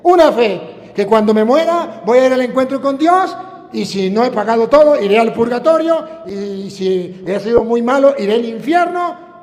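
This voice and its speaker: High-pitched Venezuelan priest preaching in a hall. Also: male voice, lively intonation, darkish tone. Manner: emphatic, declamatory, drawn-out key phrases with rhetorical questions. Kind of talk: sermon. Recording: echoing hall with long reverb.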